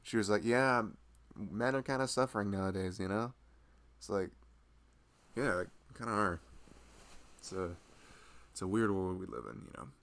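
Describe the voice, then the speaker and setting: A man's voice: fairly low pitch, expressive, normal speed, pauses often, a bit bright. A man playing a loving husband who rambles tenderly and a little anxiously in an intimate, conversational ASMR roleplay. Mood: musing, rambling. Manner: rambling, hesitant, conversational, frequent "you know". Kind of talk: intimate ASMR boyfriend roleplay, improvised-sounding chat.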